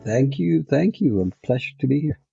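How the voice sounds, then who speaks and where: A man's voice: mid-range voice, expressive, moderate pace, creaky, mellow, faint music behind. A man in his forties from Colombia with a Colombian accent, a podcast guest talking in a reflective way with restarts and easy laughs. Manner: reflective, frequent restarts and fillers, laughs easily. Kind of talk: casual interview chat. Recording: dull, muffled audio.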